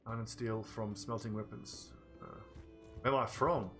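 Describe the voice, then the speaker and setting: A man's voice: mid-range voice, fairly even intonation, moderate pace, fairly bright tone, faint music behind. South African man streaming a game. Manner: chatty, thinking aloud, answering chat. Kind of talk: casual gameplay livestream commentary.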